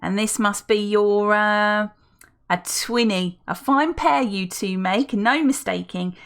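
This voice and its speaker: A woman reading aloud voices an effusive, chatty woman who talks without pause and exclaims often. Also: a bit bright. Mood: gushing. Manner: effusive, exclaiming, high-spirited. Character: gushing chatty adult woman, talks nonstop.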